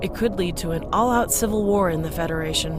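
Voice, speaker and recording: A woman's voice: on the low side, fast talker, music in the background, close to an everyday voice. A woman playing a stern Klingon starship commander in a sci-fi audio drama, speaking in a formal, clipped military way. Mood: grave.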